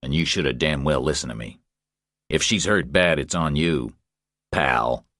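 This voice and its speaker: A man's voice: extremely low pitch, unhurried, a bit bright, no room sound, studio quality, heavily acted. A man voicing a blunt, terse former military policeman in an audiobook, speaking with urgent insistence. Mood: angry warning.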